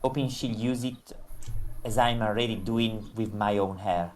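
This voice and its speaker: Woman reading dry, low-voiced visual novel protagonist. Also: a little slow, darkish tone, slight background noise. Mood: narrating, dry. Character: dry, exasperated narrator Hikaru, reluctant roommate.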